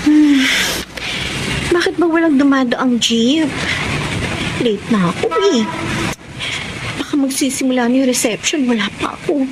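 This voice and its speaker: A woman's voice: high voice, unhurried, long pauses, noisy background. A woman playing a self-sacrificing young breadwinner and older sister in a Tagalog radio drama. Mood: flustered, distressed. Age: young adult.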